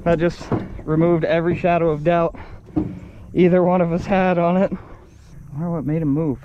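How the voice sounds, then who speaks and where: Young man, fishing vlogger, excitable, small boat outdoors, fairly high voice, muffled camera sound. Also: normal speed, noisy background. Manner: excited, exclaiming over the catch. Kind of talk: casual chat while fishing.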